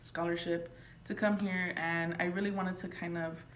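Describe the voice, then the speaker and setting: A woman's voice: lowish voice, level tone, normal speed, fairly bright tone. A young woman from Florida, a college student, reflecting in an interview with frequent ums. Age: young adult. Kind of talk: casual interview answers. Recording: echoey room with faint noise.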